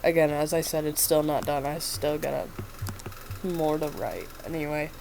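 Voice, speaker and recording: A woman's voice: low voice, level tone, moderate pace, gravelly, a little mellow, slight background noise. A woman with a stuffy nose casually reading her own unfinished fan story aloud, stumbling and restarting. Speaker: fan fiction reader.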